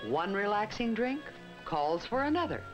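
Lively American woman narrating an old educational film. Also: medium pitch. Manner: measured, instructive, emphatic phrasing. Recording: dull 1960s film soundtrack with music. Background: prominent music.

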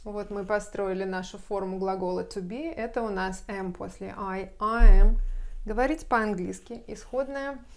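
A woman in her thirties gives a whiteboard grammar lesson at a medium pitch. She explains patiently, slowing down to sound out each example phrase. A lapel mic picks her up close in a bright room. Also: fairly even intonation. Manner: slow, careful explanation with spelled-out English examples. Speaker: English teacher.

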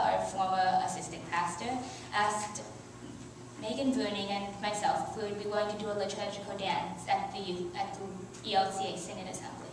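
An earnest teenage girl reads her youth sermon from a church lectern, stumbling now and then on a word. Her voice sits at an ordinary pitch and washes through a very echoey sanctuary, picked up from a distance with a faint hum of room noise. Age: teens. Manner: earnest, with soft laughs and small restarts. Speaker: youth speaker. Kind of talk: reading a prepared sermon. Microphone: headset mic, filmed by far camera.

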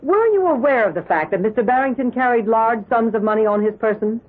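Stern lady prosecutor, old radio drama, highish husky voice, keeps pressing. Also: expressive, fairly quick. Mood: sceptical, probing. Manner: pointed, insistent questioning. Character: stern, sceptical female prosecutor cross-examining a witness. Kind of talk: 1970s radio drama acting. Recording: muffled lo-fi 1970s radio recording.

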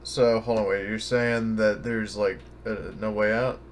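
A man's voice: fairly low pitch, flat delivery, measured pace, slight background noise. A man chatting casually as a player in a tabletop game, informal and sweary. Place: indoor online voice session.

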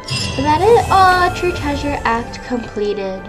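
A woman with a high voice chats casually through a video game for her viewers. She takes her time and reacts in a gushing, cutesy way, with clear music behind her. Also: sing-song, slow. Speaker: gaming video creator. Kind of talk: casual gameplay commentary.

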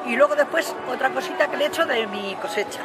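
A woman's voice: high voice, fast. A woman in her seventies from Madrid, with a Madrid accent, chatting casually in an outdoor interview. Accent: Madrid Spanish. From Madrid, Spain. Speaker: cooking contest entrant. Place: outdoor town square. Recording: outdoor camera sound, loud crowd and music.

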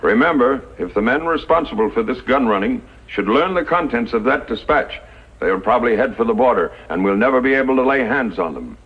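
A man playing a grave army commander in a 1950s radio western, speaking in a deliberate, formal way. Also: medium pitch, expressive, breathy edge. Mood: grave, commanding. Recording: dull, muffled 1950s radio recording.

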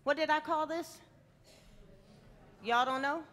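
A woman's voice: high-pitched, takes it slowly, fairly bright tone. A middle-aged woman chef giving a live cooking demo, playful and teasing with the crowd, on a headset mic in a large hall. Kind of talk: live cooking demonstration to an audience. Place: large indoor hall with a stage set. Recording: long reverb of a big hall.

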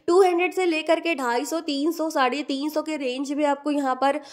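A woman's voice: high-pitched, fairly even intonation, normal speed. A woman vlogging a tour of an outdoor street market, chattily listing prices one after another. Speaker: shopping vlogger. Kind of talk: casual market tour narration.

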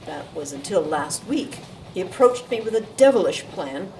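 A woman's voice: on the low side, sing-song, moderate pace, a little mellow. A woman playing a coerced, defensive young actress in an audio drama, earnest and formal in her diction. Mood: confessional. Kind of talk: audio theatre acting. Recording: distant mic, background noise.

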